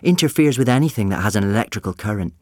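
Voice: mid-range voice, animated, quick pace, darkish tone, no room sound, studio quality, lightly acted. A storyteller voicing a frank, sceptical woman who works in a pub, in an audiobook. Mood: explaining.

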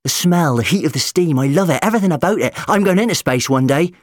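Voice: mid-range voice, sing-song, a little fast, dry recording, studio quality, voice pushed up, lightly acted. A storyteller voicing an eager, stubborn young boy who dreams of space, in an audiobook. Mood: excited, determined.

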